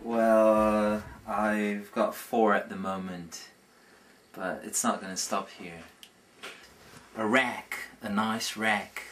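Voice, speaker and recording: A man's voice: lowish voice, fairly even intonation, slow pace, a bit bright, slight background noise. A man, by birth, answering interview questions casually and hesitantly, with many fillers and restarts. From Romania. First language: Romanian. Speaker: interviewee, competitive Scrabble player.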